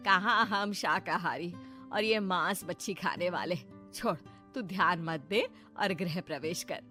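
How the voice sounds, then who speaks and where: A woman playing a bossy mother-in-law in an animated story, laying down rules to her daughter-in-law in a motherly, admonishing way. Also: lowish voice, unhurried, soft background music.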